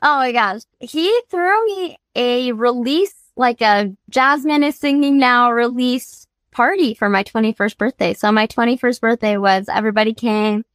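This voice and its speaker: A woman's voice: a little high, moderate pace, hardly any pauses, fairly bright tone, no room sound, clean studio sound. A young woman from the United States, a singer-songwriter guest, telling her life story candidly and earnestly, laughing easily as she talks.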